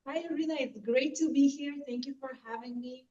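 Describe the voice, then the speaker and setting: A woman from Bulgaria speaking as an expert interview guest, giving an earnest, measured account of her own background. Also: high-pitched, steady tone, mellow, highs cut off. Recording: roomy, distant mic with faint noise.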